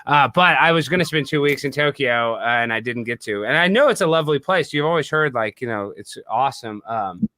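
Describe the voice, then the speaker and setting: A man chatting casually as a podcast guest, telling stories in a slangy, excitable, rambling way. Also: mid-range voice, fairly quick, few pauses, dry recording. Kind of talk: casual chat.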